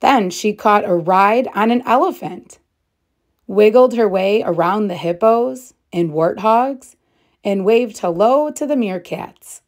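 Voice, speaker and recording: A woman narrating a children's story as a read-aloud, deliberately stressing and stretching key words. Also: medium pitch. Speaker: storybook reader, teacher.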